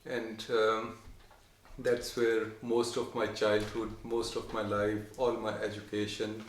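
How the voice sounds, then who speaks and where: Soft-spoken hesitant man, echoey room. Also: lowish voice, monotone, slight background noise. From Pakistan. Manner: soft-spoken, hesitant, many pauses and ums. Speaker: interviewee. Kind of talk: casual chat.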